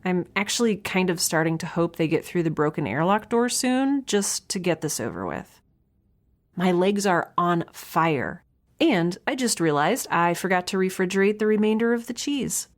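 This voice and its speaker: A woman's voice: on the low side, big pitch swings, moderate pace. An actress playing a young researcher alone on a spaceship, chatting into her log in a wry, rambling, nervous and self-deprecating way. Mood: anxious, wry. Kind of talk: audio drama, spoken log in a casual chatty manner.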